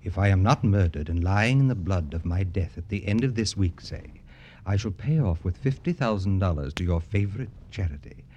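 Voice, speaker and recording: An actor in an old-time radio drama playing an arrogant, jaded, wealthy satirist who talks in florid, affected, theatrical phrases. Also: male voice, low-pitched, lively intonation, moderate pace, dark tone, highs cut off, a little hiss or hum. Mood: gloating, taunting.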